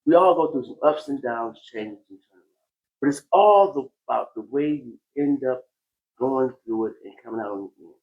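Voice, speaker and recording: A man hosting a podcast in a casual conversational style, animated, often repeating phrases and breaking easily into laughter. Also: medium pitch, normal speed, phone-quality sound. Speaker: podcast host.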